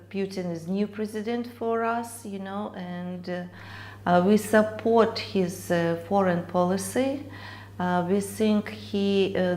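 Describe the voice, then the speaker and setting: A woman's voice: fairly low pitch, a little mellow, slight background noise. A woman from Crimea, answering interview questions earnestly with hesitant fillers. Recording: echoey room.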